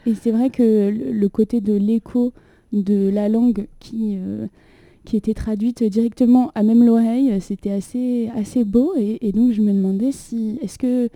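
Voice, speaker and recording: A woman's voice: a little high, steady tone, normal speed, warm tone, no echo. A young woman in casual chat, laughing often and full of fillers, recorded on a phone propped up in a small room. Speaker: poet, host of the reading. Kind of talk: casual chat and poetry reading. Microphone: phone propped on a water carafe.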